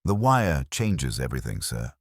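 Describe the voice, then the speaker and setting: A man's voice: very deep voice, big pitch swings, normal speed, no room sound, studio quality, heavily acted. A man voicing an observant, deferential police sergeant in a mystery audiobook, earnest in manner.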